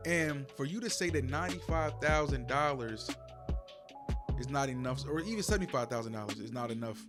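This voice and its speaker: A man's voice: mid-range voice, animated, a little fast, over music. A man hosting a reaction video, explaining his views in a casual, lecturing way and often repeating himself. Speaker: commentary host reacting to a clip.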